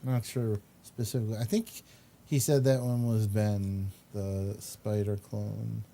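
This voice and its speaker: A man hosting a casual commentary on photos, speaking haltingly with pauses mid-sentence. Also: fairly low pitch, flat delivery, vocal fry, mellow. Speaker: host.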